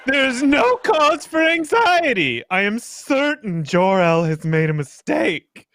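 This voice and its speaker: A man's voice: very high voice, very animated, slow, fairly bright tone, dry recording, full character voice. A man playing a pompous, declamatory council chairman in an old-time radio drama. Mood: placating, dismissive. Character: pompous council chairman calling for order, dismissive.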